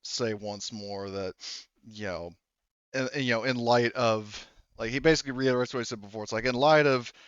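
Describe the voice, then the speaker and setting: A man working as game master, improvising tabletop game narration in a halting, rambling, informal way. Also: medium pitch, fairly bright tone, clean tone, no room sound, dull audio.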